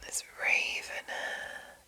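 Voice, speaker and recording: A woman reading aloud in a breathy, hushed whisper for ASMR, speaking deliberately as she presents fantasy game lore. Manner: slow, hushed, deliberate enunciation. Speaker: ASMR host.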